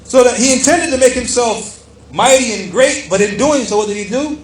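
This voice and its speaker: A man's voice: extremely high pitch, moderate pace. A man giving an Islamic religious lecture as a teacher, explaining a text emphatically and repeating key phrases for stress as he addresses his listeners. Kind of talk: religious lecture, reading aloud from a book and explaining it. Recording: echoey room with faint background noise.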